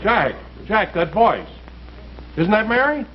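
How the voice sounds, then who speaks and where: A hearty show colleague with a high-pitched voice, chatting supportively. The sound is a dull, noisy 1950s comedy broadcast. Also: male voice, sing-song, slow pace, heavily acted. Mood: eager. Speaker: supporting actor.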